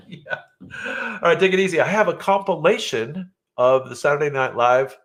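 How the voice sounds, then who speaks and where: A man's voice: a little high, normal speed, a bit bright. An older man hosting a live trivia night, chatty and jokey, reading clues with playful drama and laughing often. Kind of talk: live-streamed trivia chat with viewers. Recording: music playing under the voice.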